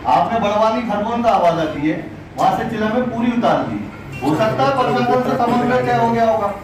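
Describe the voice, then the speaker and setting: A middle-aged man speaking as a religious community leader at a meeting, in deliberate, insistent phrases. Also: sits high. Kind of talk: public address at an administrative meeting. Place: crowded indoor meeting room. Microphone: distant camera microphone. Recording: echoey room, distant microphone, faint noise.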